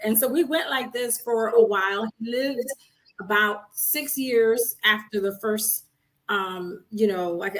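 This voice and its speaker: A woman from Haiti, raised in Miami, telling her story as a guest, earnest and steady. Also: on the high side, poor audio. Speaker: guest, coach. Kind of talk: casual interview.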